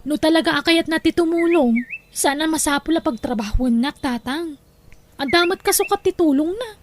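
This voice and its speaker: A woman's voice: high voice, moderate pace, a little hiss or hum, natural voice. A woman playing a reluctant grown daughter who grumbles and argues in an audio drama. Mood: resentful. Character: reluctant, complaining grown daughter arguing with her father.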